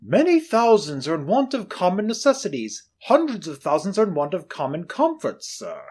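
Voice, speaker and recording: A man's voice: sits high, huge pitch swings, moderate pace, darkish tone, husky, pitched above the performer's own voice, full character voice. A man voicing a portly, polite charity collector with a formal, courteous manner, in a storybook reading.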